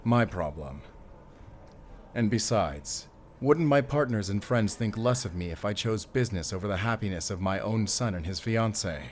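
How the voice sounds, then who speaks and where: Man acting a stern low-voiced middle-aged father. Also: animated, moderate pace, a little hiss or hum, natural voice. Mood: calm, fond. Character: wealthy stern father, protective of his son, commanding. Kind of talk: dramatic audio roleplay.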